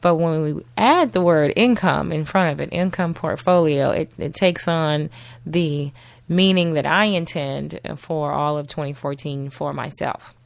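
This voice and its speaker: A woman with a low, gravelly voice gone husky from a cold, talking through a solo show in a chatty, easygoing way. She laughs often and leans on little fillers. The sound is close and dry. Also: measured pace, no echo. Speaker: podcast host. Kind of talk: solo casual talk show.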